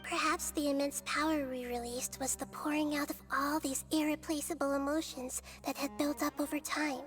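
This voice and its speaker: Brief: a calm, wise little goddess with a high, soft voice. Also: female voice, moderate pace, soft background music. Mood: earnest. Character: gentle young girl deity, wise and calm. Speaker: game character.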